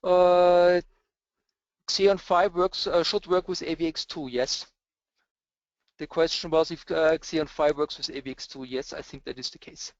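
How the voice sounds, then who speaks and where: A man's voice: a little high, level tone, measured pace, no echo. A man with an Austrian German accent, answering webinar questions as a presenter, hesitant with many filler sounds. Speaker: presenter, cluster architect. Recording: dull lo-fi webinar audio, dry.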